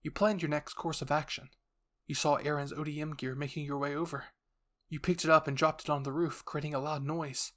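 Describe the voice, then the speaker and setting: A male narrator with a fairly high voice delivers a scripted dramatic story. He addresses the listener in the second person, as in a roleplay. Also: little pitch movement, a little fast. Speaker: narrator and voice actor.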